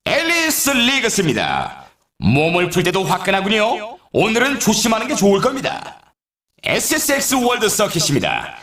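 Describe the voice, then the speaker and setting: An excitable male sports announcer for a snowboarding video game. His pitch leaps around with great animation as he draws out names with showy drama. The voice rings with a roomy echo. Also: normal speed, crowd noise, exaggerated voice. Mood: excited, dramatic. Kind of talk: scripted sports commentary, event introductions.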